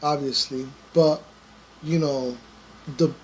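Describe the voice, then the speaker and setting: A man's voice: on the high side, fairly even intonation, takes it slowly, poor audio. A young man co-hosting a podcast, chatting casually with many fillers and treading carefully as he reacts to a video. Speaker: podcast co-host.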